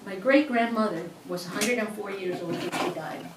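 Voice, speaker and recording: A woman's voice: medium pitch, normal speed, distant mic. A middle-aged woman with a Cuban accent walks through a sewing demonstration, chatty and prone to restarting her sentences. She sits back from the microphone in an echoey room, with a faint hum of ambience behind her. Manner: chatty, explains step by step with many restarts. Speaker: sewing instructor. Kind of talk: hands-on teaching demonstration.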